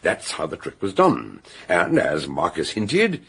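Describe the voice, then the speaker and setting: A man playing a shrewd, avuncular detective doctor in a radio mystery, questioning courteously in a husky voice. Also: low-pitched, huge pitch swings, a little slow, a bit bright, exaggerated voice. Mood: confident, explanatory. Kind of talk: radio detective drama acting.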